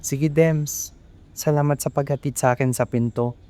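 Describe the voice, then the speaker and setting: A man with a Filipino accent playing a gentle, polite young boyfriend in an amateur radio drama. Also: medium pitch, steady tone, normal speed, lightly acted. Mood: tender.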